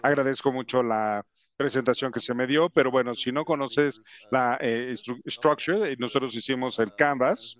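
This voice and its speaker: A man with an English accent and English as his first language, giving a conference presentation in a halting way, with many fillers and restarts. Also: mid-range voice, fairly bright tone, dull audio. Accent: English speaker's Spanish. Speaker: company representative presenting to educators.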